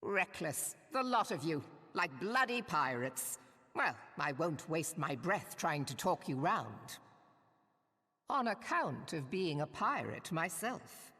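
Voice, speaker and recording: A woman's voice: sits low, sing-song, slow pace, sharp tone, full character voice. A woman playing a bold, brash pirate admiral in a video game cutscene, commanding and defiant, with salty seafaring speech. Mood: brash, wry. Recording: long echoing hall reverb.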